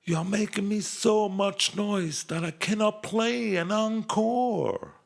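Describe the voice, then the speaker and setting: Storybook female musician played by a man: raised pitch, diligent, protesting at her noisy friends. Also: takes it slowly, creaky, full character voice. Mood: mild complaint.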